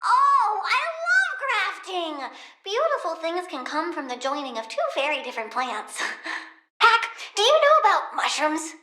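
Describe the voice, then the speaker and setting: A woman's voice: very high-pitched, sing-song, measured pace, sharp tone, roomy sound, exaggerated voice. A woman voicing a young, childlike plant-woman supervillain in a video game, curious, blunt and petulant, with an inquisitive, awkward manner. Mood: excited. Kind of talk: video game character acting.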